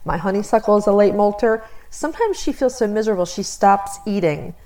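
A woman with a medium-pitched voice talks as a podcast co-host. Her delivery is casual and informative, with a wry, joking touch. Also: animated, a little fast, dark tone.

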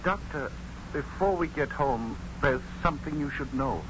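A man's voice: on the high side, expressive, normal speed, close to an everyday voice. A man acting in a 1950s radio drama as a deferential, earnest manservant, speaking politely and formally. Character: deferential, earnest manservant and loyal companion to a doctor. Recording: muffled, noisy 1950s radio recording.

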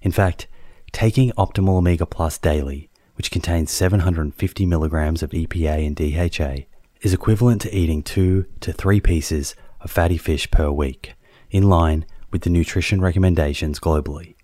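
A man reading a scripted ad in a clear, measured, promotional way. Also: low voice, warm tone, no room sound, studio quality. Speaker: ad reader. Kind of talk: scripted ad read.

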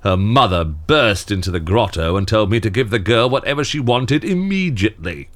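Jaded, low-voiced, grumbling Santa Claus. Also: male voice, animated, moderate pace, a bit bright. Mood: exasperated. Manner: grumbling, dry, world-weary. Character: jaded, fed-up department-grotto Santa Claus wanting out. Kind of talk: scripted audio comedy drama acting.

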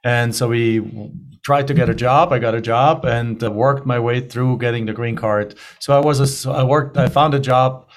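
A man's voice: mid-range voice, fairly even intonation, normal speed. A man in his forties from Germany with a German accent, a podcast guest talking casually and rambling through his story with restarts.

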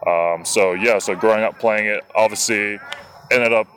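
A man's voice: on the low side, steady tone, moderate pace, fairly bright tone, low-quality recording. A young man from New Zealand, answering interview questions casually with many fillers. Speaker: interviewed rugby player.

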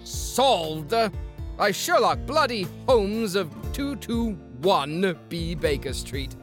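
A woman's voice: medium pitch, expressive, slow, faint music behind. A woman playing a brash, wry Victorian Londoner in a dramatic comedy trailer. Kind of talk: dramatic audio trailer acting.

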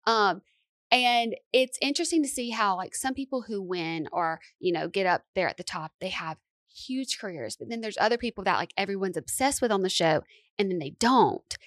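A woman's voice: medium pitch, expressive, moderate pace, fairly bright tone, no room sound, studio quality. A young woman hosting a podcast, asking long, rambling questions with eager enthusiasm. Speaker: podcast host. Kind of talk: casual interview chat.

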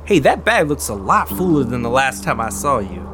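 A man's voice: medium pitch, very animated, normal speed, background noise, lightly acted. Actor as folksy, rambling fisherman, swooping intonation. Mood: chatty, nosy. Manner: folksy, rambling, good-natured. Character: folksy, chatty, nosy small-town fisherman and hobbyist. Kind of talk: audio drama dialogue.

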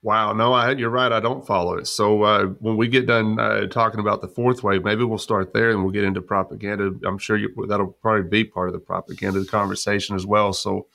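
An American man with a fairly low voice hosts a podcast in a casual, folksy conversational style. The sound is dry and close, with very little room. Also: little pitch movement, fairly quick, gravelly, few pauses, pushed and bright, dry recording. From United States. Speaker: podcast host.

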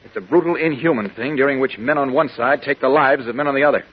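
An actor playing an earnest, urgent reporter in 1940s radio drama style, probing and explaining with some stammering. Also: male voice, medium pitch, fast talker, husky, lightly acted. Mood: earnest, explanatory. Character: earnest, urgent male reporter, insistent and quick-thinking. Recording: muffled vintage radio recording.

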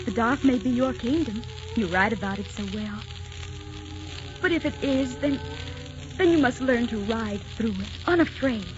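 An actress playing a tender, devoted young wife in a 1940s radio drama, earnest and romantic. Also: female voice, fairly high pitch, lively intonation, moderate pace, close to an everyday voice. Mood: soothing, adoring. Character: tender, devoted, frail twenty-three-year-old wife of a writer. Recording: dull vintage 1940s radio recording, music behind.

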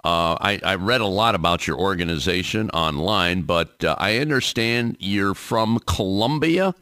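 Male talk show host: very low, bright voice, animated, dry studio sound. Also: measured pace, smooth, no room sound. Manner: lively announcer delivery, reads sponsor plugs. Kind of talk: union radio talk show interview.

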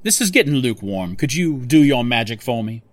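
Smug, animated male mastermind played by an actor. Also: mid-range voice, a little fast, dry recording, close to an everyday voice. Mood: controlling, probing. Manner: smooth-talking, condescending, self-satisfied, controlling. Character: smug, scheming male tech mastermind boss, condescending. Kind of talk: audio drama dialogue.

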